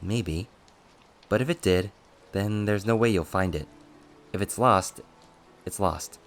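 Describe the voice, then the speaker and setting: A man's voice: sits low, takes it slowly. A man voicing an easygoing young prince in an audiobook, earnest and trying to pacify those around him. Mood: unconcerned.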